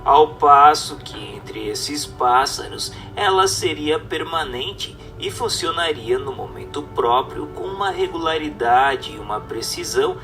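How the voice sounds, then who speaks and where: A man reads a formal text aloud as scripted narration, in a measured, didactic manner. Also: a little high, animated, a bit bright. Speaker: narrator reading a book aloud. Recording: distant mic with music underneath. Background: music.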